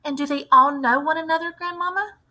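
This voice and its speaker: A woman's voice: high-pitched, a little fast, pushed and bright, pitched above the performer's own voice. A woman voicing an anxious, curious young boy in a storybook read-aloud.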